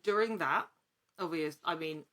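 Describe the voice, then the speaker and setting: A woman talking casually as an interview guest, telling her story in an animated, chatty way. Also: sits low, measured pace. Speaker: podcast guest, actor.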